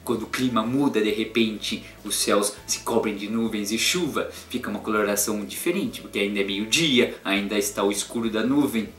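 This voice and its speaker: A man hosting a comedic art history lesson in a theatrical way, jumping between loud sarcastic outbursts and hushed musing. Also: fairly low pitch, echoey room. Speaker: art history channel host. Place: in his room.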